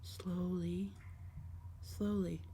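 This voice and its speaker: A woman voicing a gentle, lonely dark fae in an ASMR roleplay, speaking tenderly and hesitantly to the listener in formal storybook phrasing. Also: lowish voice, level tone, drawn-out words. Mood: gentle, caring. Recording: faint background noise.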